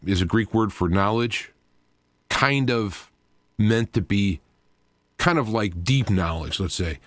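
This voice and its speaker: A man with a low-pitched voice hosts a live talk radio show, recorded close and dry with no room sound. He speaks in an informal monologue that wanders into explanatory asides, working through his points as he goes. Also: dry recording.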